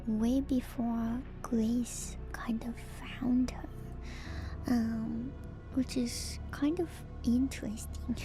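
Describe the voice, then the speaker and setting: Slow, whispering woman with music behind. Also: on the high side. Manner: hushed, musing, hesitant pauses. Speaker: streamer reading and discussing game lore. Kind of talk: whispered ASMR, reading aloud with commentary.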